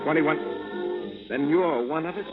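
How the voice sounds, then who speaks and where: An actor playing a cool, level-headed, laconic adventurer, matter-of-fact and understated, in a 1940s radio serial. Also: male voice, fairly high pitch, measured pace, close to an everyday voice. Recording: tinny old radio recording, music behind.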